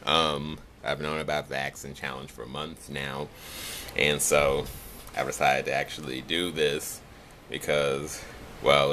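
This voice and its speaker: Deep, flat-voiced nasal man. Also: slight background noise. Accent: Chicago. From Chicago area. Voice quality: nasal, monotone. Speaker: vlogger. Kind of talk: casual accent challenge video.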